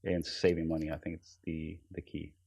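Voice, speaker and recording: Low gravelly man, hesitant and soft. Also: completely flat delivery, moderate pace. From Venezuela. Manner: hesitant, many ums and uhs, soft trailing phrases. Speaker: podcast guest. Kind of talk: relaxed conversational interview.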